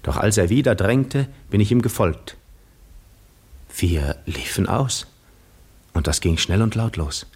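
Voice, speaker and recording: An actor playing a weary, dreamy seafarer who narrates his own voyage in measured, literary 1950s German radio drama style. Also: fairly low pitch, darkish tone, husky. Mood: wondering, drowsy.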